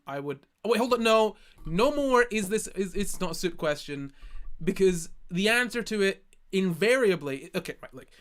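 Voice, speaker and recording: A young man from Scotland with a Scottish accent softened by years in England, casually chatting on a live stream and reading his chat, rambling and restarting his sentences often. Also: high-pitched. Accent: Scottish, softened by living in England. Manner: rambling, self-correcting, lots of restarts.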